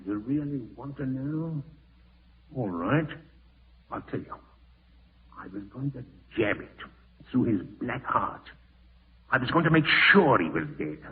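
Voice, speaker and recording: A man's voice: mid-range voice, big pitch swings, a little slow, long pauses. An actor in a 1940s radio horror play as a cruel, scheming man, melodramatic and intense, on a tinny old recording. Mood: menacing. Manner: menacing, melodramatic, muttering to himself. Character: cruel scheming man gloating, then frantic with panic.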